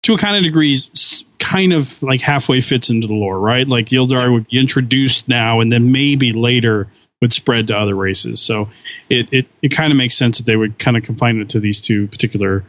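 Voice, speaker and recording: A man's voice: medium pitch, a little fast, a bit bright. A man on a gaming podcast, talking at length and restarting his sentences. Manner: talkative, many restarts and fillers. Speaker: podcast co-host. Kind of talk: casual chat.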